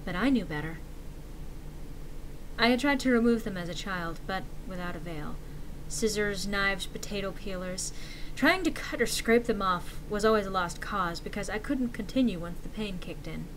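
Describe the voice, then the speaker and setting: A woman with a medium-pitched voice reads scary stories aloud. Her delivery is theatrical and measured out with dramatic pauses. The amateur recording has clear background noise. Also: expressive, a bit bright, low-quality recording. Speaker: narrator. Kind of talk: dramatic horror story reading.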